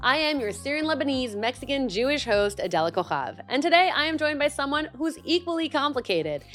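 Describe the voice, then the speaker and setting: Woman podcast host, animated intro, faint music under it. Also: high voice, brisk. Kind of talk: podcast interview.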